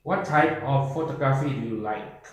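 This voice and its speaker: A man's voice: medium pitch, moderate pace. Formal male examiner in an echoey room. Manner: formal, scripted questioning. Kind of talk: exam interview questions. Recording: echoey room with faint noise.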